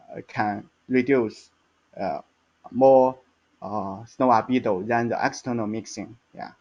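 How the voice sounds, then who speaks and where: A man giving a technical slide presentation as a research scientist, halting and hesitant, with frequent stumbles and repeats. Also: medium pitch, dull audio.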